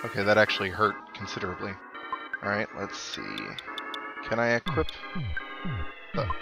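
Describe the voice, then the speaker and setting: A man's voice: lowish voice, steady tone, moderate pace. A man giving casual, rambling let's play commentary, thinking aloud as he plays. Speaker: gaming host. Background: video game music.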